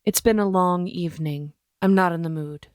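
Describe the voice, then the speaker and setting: A woman playing a formal, protective witch who leads a coven in an audio drama, speaking with measured authority. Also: lowish voice, darkish tone, smooth, dry recording, studio quality, lightly acted. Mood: stern. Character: stern, formal female coven leader, protective and wise.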